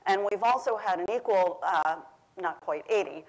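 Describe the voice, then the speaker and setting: A woman with a loud voice giving an official update as a panelist in a large conference room. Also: medium pitch, normal speed, rough recording. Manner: says she has a loud voice. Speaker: government program official on a panel. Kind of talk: prepared panel remarks. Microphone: panel microphone.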